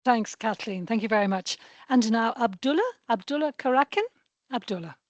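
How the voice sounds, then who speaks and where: A woman's voice: a little high, lively intonation, normal speed, dry recording. A woman hosting an online launch, introducing each speaker with brief, courteous thanks.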